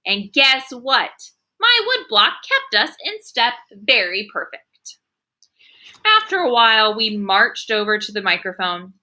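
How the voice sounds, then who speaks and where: Woman as a bright, gleeful young schoolgirl storyteller. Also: fairly high pitch, big pitch swings, unhurried, full character voice. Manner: childlike phrasing, emphatic enthusiasm. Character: spirited young schoolgirl narrator, quirky and gleeful.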